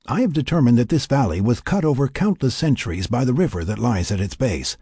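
A man voicing a reflective, formal scientist keeping an expedition journal in an audiobook, in measured, wordy phrases. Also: mid-range voice, big pitch swings, mellow, husky, dry recording, studio quality, pitched above the performer's own voice. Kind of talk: first-person audiobook narration.

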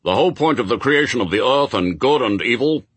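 A man's voice: mid-range voice, lively intonation, brisk, breathy edge, no room sound, pitched above the performer's own voice. A man voicing a pompous, officious heavenly spokesman in a story, formal, insistent and lecturing. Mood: snappish.

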